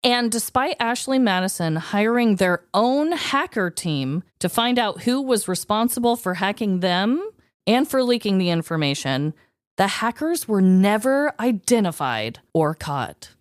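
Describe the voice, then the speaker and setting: A woman co-hosting a casual podcast, chatting in an animated, gossipy, conversational way. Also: mid-range voice, unhurried, dry recording, clean studio sound. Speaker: podcast co-host.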